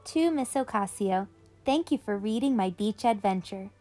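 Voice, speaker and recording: A woman's voice: mid-range voice, expressive, normal speed, over music. A woman hosting a children's read-aloud, speaking expressively and directly to young listeners.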